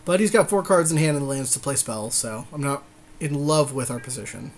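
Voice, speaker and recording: A man's voice: medium pitch, brisk, a little hiss or hum. A man giving casual commentary on a card game as a podcast co-host, chatty and thinking aloud with a partner.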